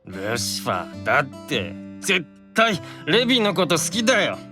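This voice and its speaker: An actor playing a tipsy, affectionate young demon brother in a Japanese audio drama, earnest and insistent with childlike drunken slurring. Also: male voice, on the high side, wildly swooping pitch, moderate pace, music in the background.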